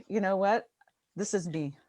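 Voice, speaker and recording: A woman on a video call chatting casually about her spiritual work, laughing often and poking fun at herself, with a slightly raspy voice. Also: mid-range voice, measured pace, a little mellow, no room sound. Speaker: guest, light language channeler. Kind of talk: casual chat.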